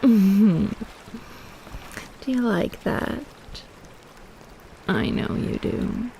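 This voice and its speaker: A woman's voice: medium pitch, drawn-out words, mellow, a little hiss or hum, rough recording. A woman playing a possessive, teasing kraken in a roleplay audio drama, cooing and bossing like a doting big sister. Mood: coaxing, possessive.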